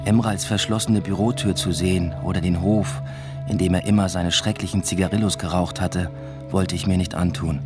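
Radio drama narrator: male actor as a subdued, introspective academic father, deep register, clear music bed. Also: fairly even intonation, normal speed, close to an everyday voice. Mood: subdued, pensive. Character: brooding academic father narrating his own story.

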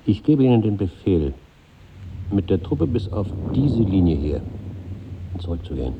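Wartime colonel, fairly deep voice, controlled and resolute, vintage radio drama, faint noise. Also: male voice, big pitch swings, moderate pace, creaky, exaggerated voice. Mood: grave, resolute. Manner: clipped, controlled. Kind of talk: 1950s German radio drama acting. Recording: dull old radio recording, slight noise.

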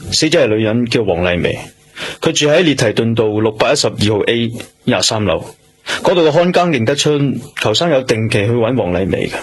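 An actor with a Hong Kong accent playing a businesslike police detective who questions methodically, in a radio drama. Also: male voice, medium pitch, breathy edge. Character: male police detective investigating a murder, probing and businesslike. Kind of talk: radio drama acting and narration.

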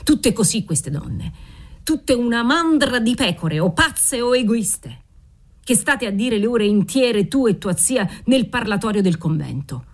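A woman's voice: on the low side, sing-song, moderate pace. Woman reading as a volatile old nobleman. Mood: furious. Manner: swings from dreamy murmuring to furious shouting; fervent, obsessive. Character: aging nobleman, desperate, volatile, pious father.

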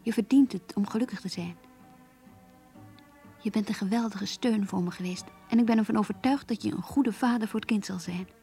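An actress playing a warm, resolute young woman speaking a farewell letter tenderly and earnestly in a radio play. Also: mid-range voice, a little fast, faint music behind, close to an everyday voice.

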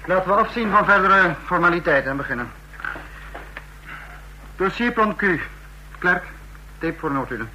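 An actor in an old radio play, playing a brisk, commanding dictator with clipped, formal authority. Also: male voice, high-pitched, lively intonation. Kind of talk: mid-century radio play acting. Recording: noisy, humming old radio recording.

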